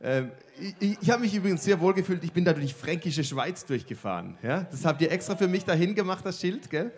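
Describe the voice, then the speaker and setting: A man from Switzerland with a Swiss accent, a guest speaker chatting in a jokey, teasing, halting way. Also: sits high, lively intonation, darkish tone. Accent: Swiss German. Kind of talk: casual joking stage interview.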